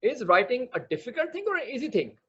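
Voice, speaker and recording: A man's voice: very high voice, sing-song, quick pace, a bit bright, breathy edge, lo-fi sound. A man from Pakistan, not a native English speaker, teaching an online training session on research writing, earnest and full of hesitant fillers. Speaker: medical writing instructor.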